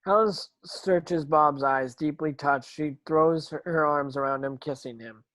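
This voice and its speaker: A man's voice: on the high side, unhurried, fairly bright tone, dry recording. A man narrating screen action from a script as a stage directions reader, now and then stumbling over words.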